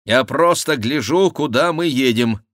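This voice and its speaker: A man voicing a brisk Gascon horseman in an audiobook, giving crisp orders with unruffled mockery. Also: mid-range voice, lively intonation, fairly bright tone, dry recording, clean studio sound, pitched above the performer's own voice. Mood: cool, evasive. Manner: crisp commands, unruffled and mocking. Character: brisk, teasing Gascon horseman, coolly commanding. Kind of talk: audiobook character voice.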